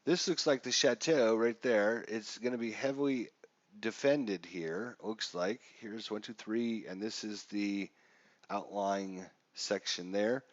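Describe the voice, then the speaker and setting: A lower-voiced man with a stuffy, congested nose, chatting casually and filling pauses as he walks through a game setup. The audio is dry and a bit dull, like a lo-fi stream mic. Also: dry recording. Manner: casual, chatty with frequent fillers. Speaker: live stream host. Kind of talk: live wargame walkthrough.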